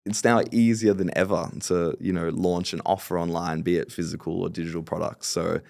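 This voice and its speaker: A man's voice: low voice, little pitch movement, a little fast, creaky, darkish tone, no echo, clean studio sound. A man in his late twenties, a podcast guest talking confidently and agreeably about his business. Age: 20s. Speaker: podcast guest, fulfillment company founder. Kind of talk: casual interview talk.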